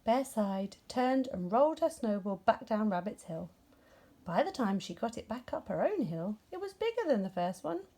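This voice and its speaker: Female children's story narrator: expressive, animated pitch, warm and rounded tone. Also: moderate pace. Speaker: storybook reader.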